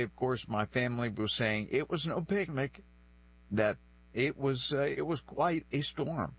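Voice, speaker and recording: A man's voice: medium pitch, husky. A man in his sixties from the northeastern United States, a native French speaker, telling personal stories reflectively as an interview guest. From New Bedford, northeastern United States. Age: 60s. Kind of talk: conversational interview, personal storytelling.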